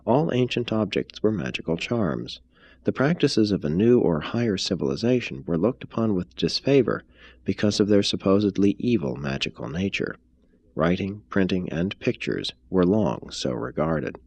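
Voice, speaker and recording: A man reading an expository text aloud as a narrator, in a measured, formal manner. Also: lowish voice, very animated, creaky, a little mellow, no echo. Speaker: reader, narrator. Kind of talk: scripted audiobook-style reading of an expository text.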